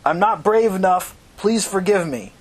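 A man's voice: expressive, normal speed, breathy edge. A man voicing a panicked male thug, out of breath and urgent, in an audiobook story. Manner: urgent, panting, exclaiming. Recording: noisy recording with low rumble.

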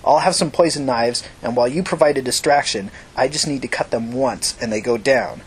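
A man's voice: mid-range voice, a little fast, breathy edge. A man voicing a vengeful, foul-mouthed young woman who threatens and commands in short emphatic bursts in an audiobook story. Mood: menacing, commanding.

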